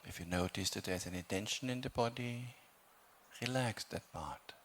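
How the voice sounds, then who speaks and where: Noticeable background noise sits under a man's low voice with a gravelly creak in it. He leads a guided meditation as a teacher, giving calm, measured instructions. He leaves long pauses between short phrases. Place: meditation hall.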